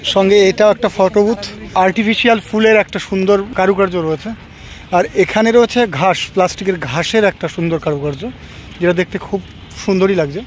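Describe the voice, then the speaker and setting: A man with a high-pitched voice hosts a travel vlog outdoors. He narrates casually and chats, pausing and repeating himself as he goes. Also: slight background noise. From India. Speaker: travel vlogger and host. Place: outdoors, park and roadside.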